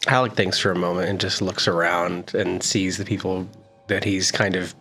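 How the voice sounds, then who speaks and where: A man's voice: low voice, fairly even intonation, normal speed, a bit bright, soft background music. A man playing a tabletop roleplaying game, moving casually between in-character talk and narration.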